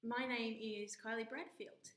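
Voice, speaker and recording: A woman's voice: a little high, fairly even intonation, a little slow, fairly bright tone. Australian woman lecturer, echoey room. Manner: chatty, with frequent ums and restarts. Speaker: university lecturer, module co-convener. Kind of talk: spoken course introduction.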